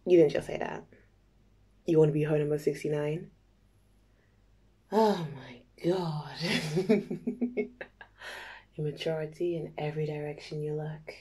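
A woman playing a playful, nervous young date in an intimate ASMR roleplay, soft and halting, often near a whisper. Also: low-pitched, slightly rough. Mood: mock-offended, teasing. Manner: halting, giggly, teasing.

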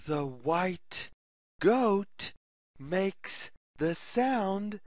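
Very slow, sing-song children's story reader. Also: mid-range voice, animated, darkish tone, no echo, studio quality. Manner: deliberate, word-by-word delivery with sing-song questions. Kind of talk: slow read-aloud of a repetitive children's picture story.

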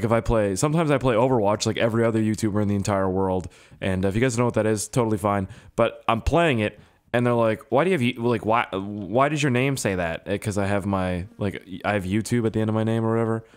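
Hoarse young man rambling over gameplay. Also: fairly low pitch, fast. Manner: rambling, profane, self-mocking. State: hoarse, strained voice. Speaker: gaming YouTuber. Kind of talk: casual let's-play commentary.

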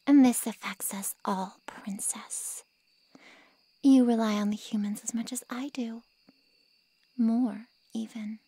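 A haughty female vampire, played by a woman in an ASMR audio drama, drawling slowly with mocking sarcasm. Her voice is breathy and creaky, very close and dry, dripping with callous disdain. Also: on the high side, steady tone, dark tone, no room sound, heavily acted. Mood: taunting.

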